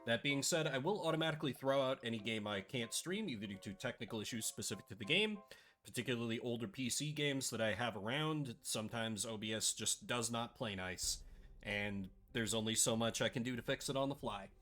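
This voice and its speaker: A man hosting a casual live stream, chatty and playfully sarcastic, his voice a little rough and scratchy today. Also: mid-range voice, animated, brisk, a bit bright, faint music behind.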